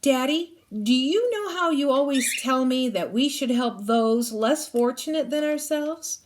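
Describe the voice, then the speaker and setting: A woman's voice: high voice, expressive, measured pace, slight background noise. A woman voicing an earnest young girl in a children's storybook, coaxing politely as she pleads. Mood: pleading. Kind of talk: children's storybook read-aloud.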